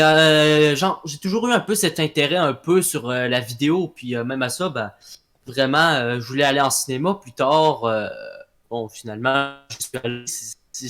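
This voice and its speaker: A young man from Quebec with a Québécois French accent, a guest in a casual interview, talking with many pauses and restarts. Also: medium pitch, a bit bright. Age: young adult. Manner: hesitant, many fillers and restarts.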